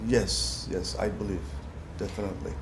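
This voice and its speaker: A man in his forties from Cyprus, a property developer, answering interview questions in a measured, hesitant way with many fillers. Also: sits low, fairly even intonation, background noise. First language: Turkish. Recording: roomy echo with background music.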